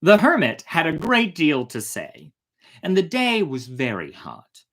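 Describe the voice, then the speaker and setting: Animated fairytale storyteller with swooping intonation. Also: medium pitch, measured pace. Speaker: storyteller, host.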